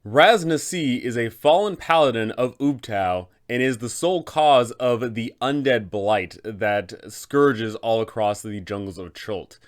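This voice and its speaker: A man's voice: medium pitch, unhurried, few pauses. A man hosting a guide for game masters, delivering scripted narration about a fantasy tabletop game. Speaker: host, game master giving advice.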